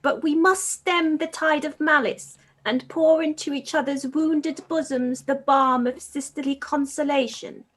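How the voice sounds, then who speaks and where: A woman's voice: high voice, steady tone, a little slow, few pauses. A woman playing a priggish, solemn, bookish young sister in a period radio play, pompous and sententious. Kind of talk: live-read radio play acting of period drama.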